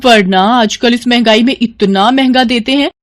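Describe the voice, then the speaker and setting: A woman's voice: fairly high pitch, normal speed, voice pushed up, natural voice. A woman voicing a chatty, appreciative street-food customer in a moral story.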